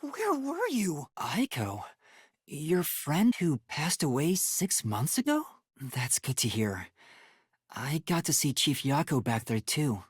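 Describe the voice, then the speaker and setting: A soft, breathy boyish video game detective, earnest and timid, with a polite, hesitant way of speaking. His pitch swings widely from line to line. The recording is very dry and close. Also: very animated, slow, no echo, full character voice. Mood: concerned, inquisitive. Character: earnest, timid young male detective trainee. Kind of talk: English video game voice acting.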